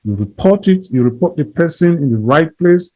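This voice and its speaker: A man's voice: on the high side, expressive, normal speed, dark tone, poor audio. A man as an interview guest, speaking earnestly and emphatically, often repeating phrases and building lists. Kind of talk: earnest conversational advocacy.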